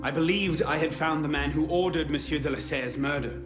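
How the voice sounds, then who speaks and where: A man's voice: a little high, a little fast, natural voice. Young male assassin, actor role, game drama: determined, formal, defiant, roomy sound over music. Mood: contrite, earnest. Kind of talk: video game cutscene acting. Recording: roomy echo under loud music.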